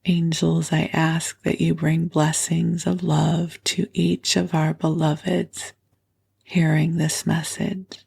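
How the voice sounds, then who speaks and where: A woman hosting a bedtime meditation with a froggy morning voice, speaking softly and gently and often dropping to a whisper. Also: deep voice, flat delivery, slow, creaky, husky. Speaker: podcast host. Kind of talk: soothing bedtime meditation and prayer.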